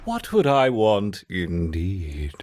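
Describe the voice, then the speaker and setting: An actor playing a scheming, eccentric mad scientist in a video game, with theatrical muttering and grandiose, evasive speech. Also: male voice, deep voice, wildly swooping pitch, takes it slowly, a little mellow, faint music behind, exaggerated voice. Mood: flustered. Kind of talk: video game character acting.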